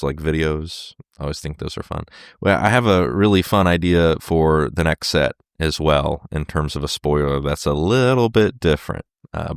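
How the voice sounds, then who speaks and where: A man hosting his solo podcast while sick, with a sore throat and a fading voice, talking casually with many fillers and throat clears. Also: extremely low pitch, no room sound, clean studio sound. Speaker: podcast host.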